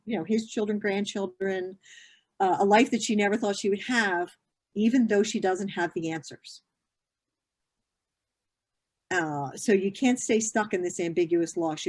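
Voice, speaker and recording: A woman's voice: medium pitch, a little mellow. Earnest woman in her fifties explaining. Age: 50s. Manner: earnest, explanatory, with frequent fillers and restarts. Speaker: grief therapist. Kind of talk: conversational interview answers.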